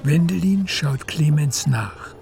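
Mellow, gravelly male narrator, higher voice. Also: expressive, slow, soft background music. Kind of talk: scripted literary narration for a radio play.

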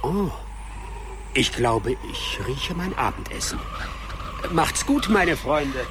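A man's voice: on the high side, animated, takes it slowly, noisy background. A man playing a sly, amused fox who is a loyal friend, in a German radio play.

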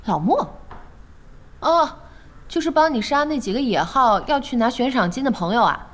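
A woman playing a feisty, blunt young esports fan in an audio drama, outspoken and quick to complain. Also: a little high, lively intonation, natural voice. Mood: casual curious. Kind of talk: Chinese audio drama acting.

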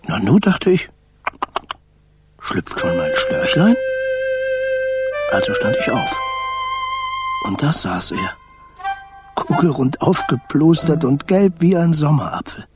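A man playing a kindly stork in a radio play, telling a story to a child in a gentle, measured way. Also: on the high side, sing-song, over loud music. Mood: surprised. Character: kindly male stork narrating a letter to a child.

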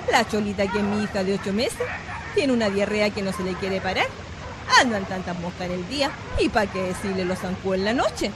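A woman's voice: medium pitch, close to an everyday voice. An actress playing a resigned, plain-spoken poor mother with a Chilean accent and neighbourly, colloquial speech in a radio drama. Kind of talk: Spanish-language radio drama acting. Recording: noisy track with crowd, dogs, street ambience.

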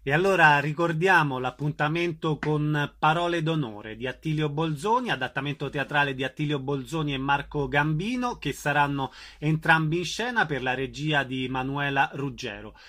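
A man with a bright, forceful and slightly high voice hosts a radio interview. He speaks as the presenter, addressing his guests. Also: moderate pace, few pauses.

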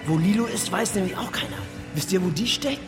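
An actor playing an impatient, grumbling village cousin in colloquial radio drama dialogue. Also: male voice, high-pitched, a little fast, long reverb tail, over music, close to an everyday voice.

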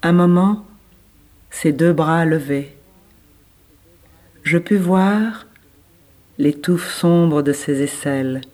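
A man reading literary prose as scripted narration, with measured, deliberate phrasing. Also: a little high, a little mellow. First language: French. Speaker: reader of literary text.

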